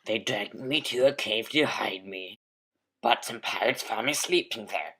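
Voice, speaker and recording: A man recites comic storytelling verse. His voice is husky and full of breath, with a sharp, pressing brightness. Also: fairly low pitch, measured pace.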